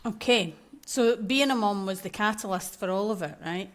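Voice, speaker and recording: Candid Scottish woman, animated storytelling. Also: medium pitch, moderate pace. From Scotland. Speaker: podcast guest, maternal mental health coach. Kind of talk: casual podcast chat.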